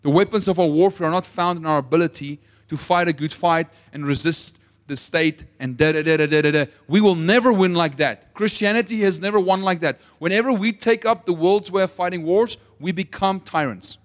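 A young South African man preaching a sermon, telling a story with swings between loud emphasis and quiet asides. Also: sits high, a little fast, hardly any pauses. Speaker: pastor.

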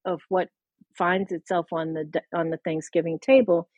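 A woman's voice: fairly low pitch, steady tone, moderate pace, a little mellow, husky, no echo. A woman author and scholar talking in a thoughtful, lecturing way, with restarts and laughs, in a podcast conversation. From mid-Atlantic United States.

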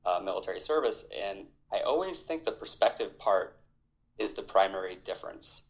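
A man in his thirties from Hawaii, an army veteran talking as a podcast guest in a measured, reflective way. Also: low-pitched, expressive, muffled recording. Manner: reflective, measured, with fillers and restarts. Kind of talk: conversational interview.

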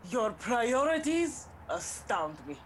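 A woman's voice: a little high, big pitch swings, slow, a little hiss or hum. A woman playing a melodramatic young heroine. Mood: dramatic. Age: young adult. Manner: melodramatic, dotted with Spanish words. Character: young woman torn between duty and an old love. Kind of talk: student comedy radio play acting.

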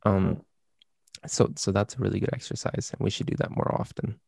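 A man's voice: on the low side, fairly even intonation, brisk, creaky, a little mellow, dry recording. A man co-hosting a casual podcast, chatty and prone to repeating himself. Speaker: podcast co-host.

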